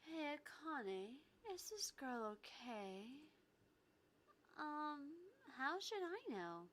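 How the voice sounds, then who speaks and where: A woman's voice: high voice, big pitch swings, very slow, fairly bright tone, slight background noise, voice pushed up, full character voice. A woman voicing a friendly, upbeat young female inspector in a visual novel, casually polite. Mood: friendly, chirpy.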